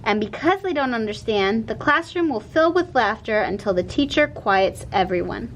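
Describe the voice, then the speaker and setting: Woman, slightly high voice, children's story read-aloud, expressive narration, some background noise and rumble. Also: moderate pace.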